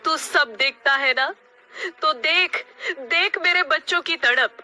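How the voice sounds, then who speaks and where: A woman's voice: sits high, slow pace, pushed and bright, soft background music, heavily acted. A woman voicing an anguished, defiant mother bird in a cartoon story, with impassioned, dramatic delivery. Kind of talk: Hindi cartoon story acting.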